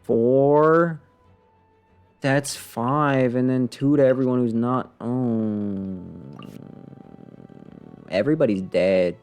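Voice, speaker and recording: A man's voice: medium pitch, fairly even intonation, slow, pauses often, a little mellow, clean tone. A man doing casual live gameplay commentary, thinking aloud in a self-deprecating way as he mutters and exclaims. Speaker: video game livestreamer. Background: game music.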